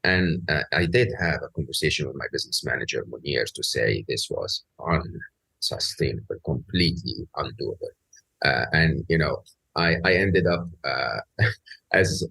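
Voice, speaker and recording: Soft deep-voiced man, husky and halting. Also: low-quality recording. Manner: soft-spoken, halting restarts, laughs often. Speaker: podcast host. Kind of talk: casual conversation.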